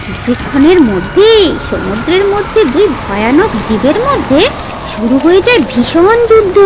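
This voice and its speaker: A woman narrating a children's fairy tale in a scripted, expressive and dramatic storytelling style, with music underneath. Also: high voice, noisy background.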